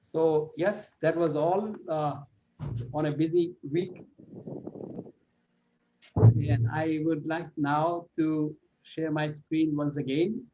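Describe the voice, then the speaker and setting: A man with a fairly high-pitched voice hosts a club meeting. He makes formal announcements with a hesitant, stop-start delivery. The sound is slightly muffled and lo-fi, as on a video call. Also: fairly even intonation, slow pace, pauses often, mellow. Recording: dull, lo-fi online meeting audio.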